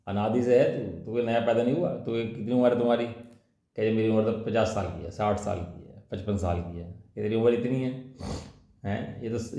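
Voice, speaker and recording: A man's voice: medium pitch, mellow. A man giving a colloquial religious discourse, explaining scripture with rhetorical questions and repeated key phrases. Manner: colloquial teaching with rhetorical questions and tag words. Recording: echoey, reverberant room sound.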